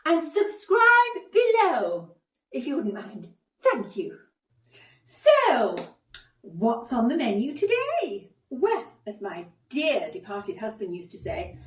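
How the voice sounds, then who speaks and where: A woman playing a widowed giantess who hosts an amateur cookery video, flustered, chatty and cheerfully man-eating. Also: a little high. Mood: bright, loud. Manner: chatty, self-conscious, muttering asides then loud exclaiming. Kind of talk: comic monologue as a cookery video. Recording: roomy, echoey, distant microphone.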